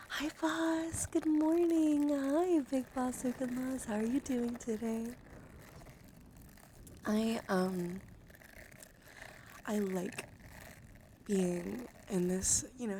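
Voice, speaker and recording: Female ASMR livestream host: soothing, intimate whispery delivery, creaky and slightly strained morning voice, close mic with audible background noise. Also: a little high. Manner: hushed, often dropping into whispers; gentle, soothing, affectionate. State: voice slightly strained from just waking up. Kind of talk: soft ASMR, chatting to live viewers.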